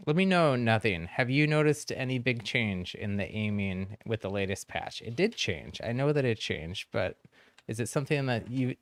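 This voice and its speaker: A man's voice: mid-range voice, vocal fry, no echo. A man hosting a livestream, chatting casually with viewers in a friendly, easygoing way. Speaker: game streamer, host.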